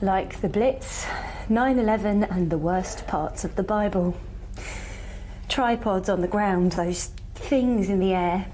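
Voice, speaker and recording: An actress playing a wounded, weary scientist in an audio drama, speaking in a guarded, wry, understated way. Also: female voice, mid-range voice, slow, dark tone, slight background noise, lightly acted, low-quality recording. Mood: grim, haunted. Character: wounded, weary woman astrophysicist surviving an alien invasion.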